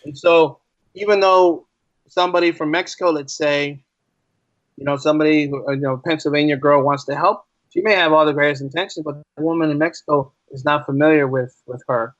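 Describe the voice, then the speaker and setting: A man's voice: on the high side, fairly even intonation, fairly quick, a bit bright, no room sound. Male American interview guest, emphatic and conversational, slightly dull audio with very little room sound. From United States. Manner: emphatic, repeats phrases for stress, uses rhetorical questions. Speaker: podcast guest, teacher.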